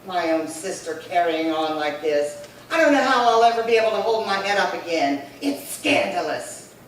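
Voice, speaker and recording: A woman's voice: on the low side, expressive, moderate pace, natural voice. Woman acting a bossy, scandalized sister, echoey. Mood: scolding. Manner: scolding, emphatic, repeats herself. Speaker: actor. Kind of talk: old-time radio crime drama acting. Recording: very echoey room, distant mic, faint noise.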